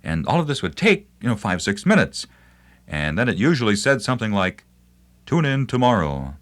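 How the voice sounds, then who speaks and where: Guy doing a radio nostalgia promo, lowish voice, really animated, wry. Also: moderate pace. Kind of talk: scripted promotional narration.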